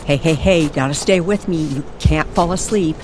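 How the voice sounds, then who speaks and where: A woman's voice: low-pitched, moderate pace, background noise, close to an everyday voice. A woman playing an earnest young woman in an audio story, pleading and worried. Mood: urgent, worried. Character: earnest, confident young woman, anxious rescuer.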